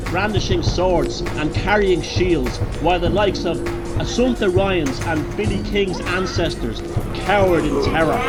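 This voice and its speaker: A man performs a defiant, boastful underdog from a storybook tale. He declaims with indignant scorn, pitched up and loud, over music. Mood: furious. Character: defiant, boastful Dublin underdog raging at his mockers. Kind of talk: dramatic storybook character voice.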